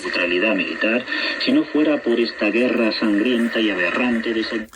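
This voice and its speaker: A man's voice: on the low side, a little fast, background noise. A man speaking on a radio broadcast, heard over shortwave reception. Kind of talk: Spanish-language political radio broadcast.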